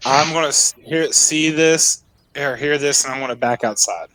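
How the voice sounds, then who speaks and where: A man's voice: a little high, moderate pace, sharp tone, no room sound, low-quality recording. A man taking part as a player in a tabletop game, speaking in a casual, joking way.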